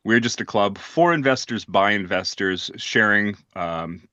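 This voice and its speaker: Bright-voiced male club host, muffled line. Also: lowish voice, unhurried, dry recording. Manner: procedural, hesitant with fillers. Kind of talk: meeting moderation.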